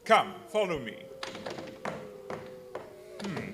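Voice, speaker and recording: Theatrical, high-pitched Count Dracula. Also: male voice, sing-song, noisy background, heavily acted. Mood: mock-polite menace. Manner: slow, formal, theatrical delivery. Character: Count Dracula, sinister courtly male vampire, menacingly hospitable. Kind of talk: radio play acting.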